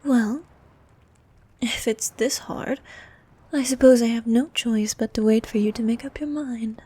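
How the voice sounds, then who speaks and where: A woman voicing a vain, flirtatious sea queen monster in an ASMR roleplay, soft and half-whispered, with a regal, teasing manner. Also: on the high side, steady tone, breathy edge. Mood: teasing, haughty. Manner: regal, teasing, slow and coaxing.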